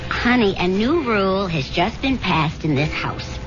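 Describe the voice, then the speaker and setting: A woman playing a caring, gently bossy housewife devoted to her husband, acted in 1970s radio drama style. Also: mid-range voice, lively intonation, a little slow, close to an everyday voice. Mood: teasing, insistent. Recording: muffled old radio broadcast, music behind.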